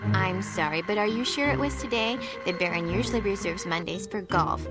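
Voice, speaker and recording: A woman playing a prim, unhelpful receptionist in a video game, formal and deadpan. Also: medium pitch, fairly quick, loud music behind the voice, close to an everyday voice. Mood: prim, dismissive. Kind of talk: video game cutscene acting.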